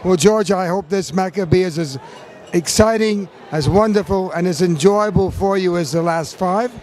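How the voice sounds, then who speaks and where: A man's voice: high voice, normal speed, a little mellow, a faint crowd. A man interviewing an older guest outdoors, asking polite, leading questions. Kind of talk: broadcast interview.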